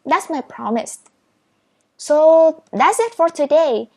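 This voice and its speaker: A woman's voice: sits high, expressive, takes it slowly, dark tone. A woman whose first language is Vietnamese, speaking English as she teaches speed reading step by step in a patient, encouraging manner. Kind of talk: step-by-step tutorial.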